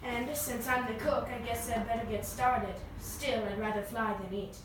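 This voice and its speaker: A woman's voice: a little high, fairly even intonation, moderate pace, close to an everyday voice. A woman playing an earnest, cautious young male inventor in an amateur radio play. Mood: resolute. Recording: echoey room, distant mic, noisy background.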